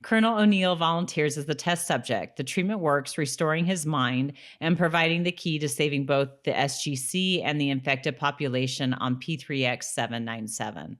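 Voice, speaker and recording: A woman's voice: low-pitched, moderate pace, few pauses. A woman co-hosting a podcast, chatting casually as she recaps a TV episode. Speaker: podcast co-host.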